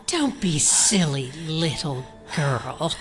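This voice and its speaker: An actress playing a menacing, fanatical cultist killer in an audio drama, taunting and condescending. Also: female voice, deep voice, very animated, slow pace, full character voice. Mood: sinister, taunting. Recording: voice under loud dramatic music. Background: loud suspense music.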